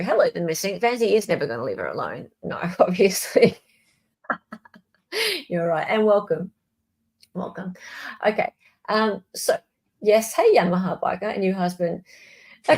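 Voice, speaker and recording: A woman hosts a casual livestream about legal matters. She speaks informally and chattily, addressing viewers directly, with a mid-range pitch. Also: sing-song, moderate pace, pauses often, fairly bright tone. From Australia. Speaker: livestream host. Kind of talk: casual live legal commentary chat.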